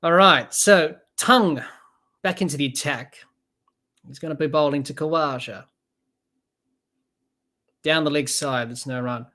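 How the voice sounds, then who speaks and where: A young Australian podcast host calls a cricket match live in a loose, rambling way. They chat to listeners and read out their comments between deliveries. The voice sits at an ordinary middle pitch. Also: measured pace, long pauses, bright, forceful tone. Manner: chatty, rambling, reads out viewer comments.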